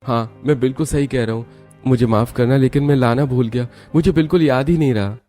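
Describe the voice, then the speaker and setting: Guy doing a young cartoon husband, normal pitch, mild n placating, bit of music under it. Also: brisk, mellow, natural voice. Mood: apologetic. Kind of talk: Hindi cartoon story dialogue.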